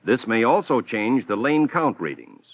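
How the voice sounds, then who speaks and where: A man giving measured, scripted technical narration for an instructional film. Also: medium pitch, sing-song. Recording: tinny narrow-band old film soundtrack.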